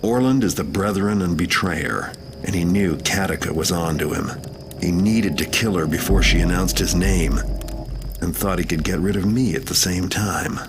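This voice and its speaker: An actor playing a cynical, wry master thief in a video game cutscene, dry and sardonic, with music behind him. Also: male voice, deep voice, normal speed, background noise.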